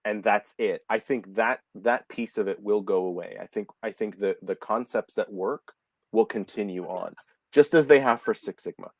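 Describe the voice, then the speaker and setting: A middle-aged man with a Canadian accent hosting a casual video call chat, animated and often restarting his words. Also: on the low side, moderate pace, no echo. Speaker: host. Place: office room, indoors. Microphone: video call webcam.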